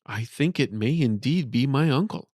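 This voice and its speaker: A man's voice: medium pitch, expressive, normal speed, darkish tone, no echo, natural voice. Young swordsman nephew , read by a male narrator, suspicious and demanding, fantasy audiobook, dry close sound. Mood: hesitant.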